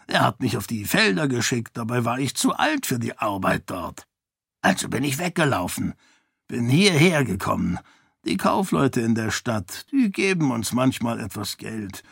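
A man voicing an old, half-senile male beggar with a croaky, rambling manner. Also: mid-range voice, a bit bright, no echo, studio quality, full character voice. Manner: rambling, humble.

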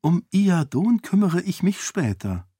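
A man voicing a witty, cheeky young noblewoman in an audiobook, playful and sharp-tongued. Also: high-pitched, very animated, measured pace, breathy edge, dry recording, studio quality, pitched above the performer's own voice, heavily acted. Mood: coy.

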